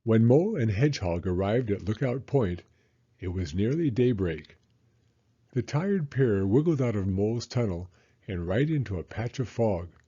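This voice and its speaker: A man reading a children's story aloud with expressive narration. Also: lowish voice, moderate pace, husky, highs cut off.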